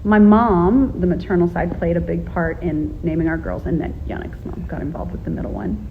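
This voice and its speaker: A woman in her forties who tells family stories in an animated way, laughing often, in a casual interview with her husband. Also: fairly low pitch, a little fast. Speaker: author, guest. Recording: dull lo-fi sound with background noise.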